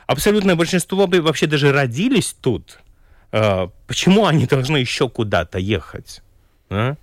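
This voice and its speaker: A man's voice: medium pitch, expressive, no room sound, studio quality. Man speaking Russian. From Latvia. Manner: halting, thoughtful, with chuckles and restarts. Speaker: orientalist guest. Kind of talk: radio talk show discussion.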